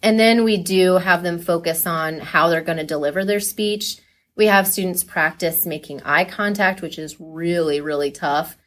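Chatty, fairly low-voiced woman coach. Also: moderate pace, fairly bright tone. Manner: chatty, enthusiastic, laughs readily. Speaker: speech team coach being interviewed. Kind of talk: casual interview chat.

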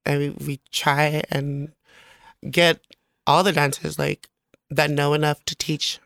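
Creaky-voiced woman guest, casual and joking. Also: low voice, little pitch movement, slow, fairly bright tone, no room sound, studio quality. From American Samoa. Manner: giggly, joking, slangy. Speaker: podcast guest. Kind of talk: casual chat.

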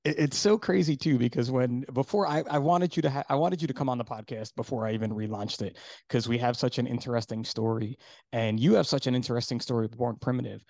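A middle-aged man from New Jersey hosting a podcast in casual chat, hoarse today and rambling with fillers. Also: mid-range voice, quick pace, darkish tone, dry recording. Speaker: podcast host.

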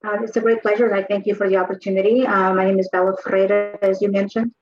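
A woman's voice: mid-range voice, flat delivery, fast, darkish tone, soft background music. A woman from Angola introduces herself and her social service agency on a panel, speaking politely and formally. Her audio sounds somewhat muffled. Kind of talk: self-introduction.

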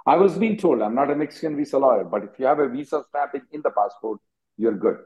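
A man's voice: mid-range voice, animated, fast talker, mellow. A man hosting an immigration call-in, answering callers in a curt, businesslike way and hurrying them along. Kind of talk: brisk Q&A on a conference call. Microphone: conference call line. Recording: dull lo-fi call audio.

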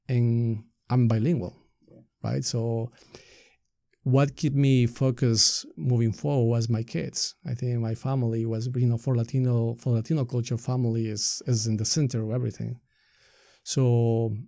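A middle-aged man with an Ecuadorian Spanish accent speaks in a mellow, creaky voice, recorded close and dry. He is a native Spanish speaker who explains things earnestly in an interview, often posing rhetorical questions to make his point. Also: mid-range voice, no room sound. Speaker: nonprofit staff member being interviewed. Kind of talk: reflective interview answers.

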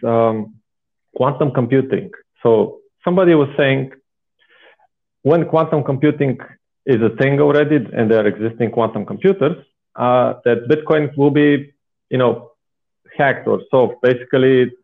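Phone-like young Bulgarian-accented man, enthusiastic. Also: mid-range voice. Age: 20s. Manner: enthusiastic, emphatic. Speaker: podcast guest. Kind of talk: casual interview talk, personal storytelling. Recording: phone-like, thin internet call audio.

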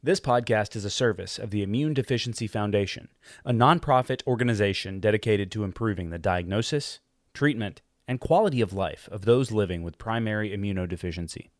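Low-voiced man reading a podcast intro. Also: brisk, dry recording, studio quality. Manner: even, formal read. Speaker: podcast host. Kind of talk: scripted intro read.

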